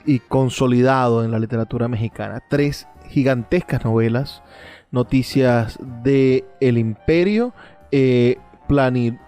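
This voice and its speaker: A man presenting a literary programme, giving commentary in a halting way as he searches for words. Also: medium pitch, dark tone, faint music behind. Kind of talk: spoken literary commentary and introduction.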